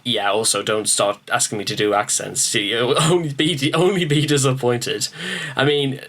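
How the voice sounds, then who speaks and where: A man's voice: mid-range voice, fairly even intonation, normal speed. Rambling, joking man hosting reactions. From Newcastle upon Tyne, northeast England. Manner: joking, swearing, self-mocking, often stammers on restarts; addresses viewers as ladies and gentlemen. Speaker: reaction video host. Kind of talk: rambling casual chat to camera.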